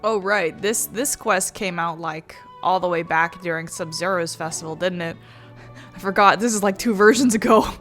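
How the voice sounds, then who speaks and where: A woman's voice: fairly low pitch, moderate pace, a bit bright, faint music behind. A woman hosting a game playthrough, commenting casually and reading dialogue aloud. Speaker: gaming video host.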